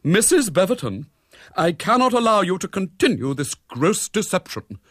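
A man's voice: high voice, big pitch swings, moderate pace, a bit bright, no room sound, clean studio sound, voice pushed up, full character voice. A man voicing a stern vicar's wife in a storybook, declaiming as if scolding unruly choirboys. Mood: stern denunciation. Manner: declamatory, severe.

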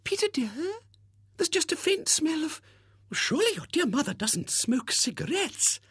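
A man reading aloud and voicing a gushing, overbearing spinster in a shrill, breathless, theatrical way. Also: very animated, moderate pace, a bit bright, no echo, clean studio sound, full character voice.

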